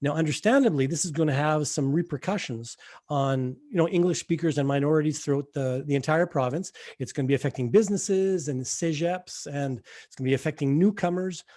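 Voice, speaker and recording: Man hosting a talk podcast, higher voice. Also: fairly quick, dark tone. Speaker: podcast host. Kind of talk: scripted intro then interview questions.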